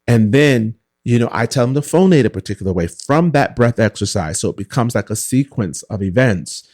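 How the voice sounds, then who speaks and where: A man, a vocal coach guest in an interview, speaking earnestly with a naturally high voice and explaining things step by step. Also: animated, fairly quick, a little mellow, no room sound, studio quality. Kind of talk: casual interview conversation.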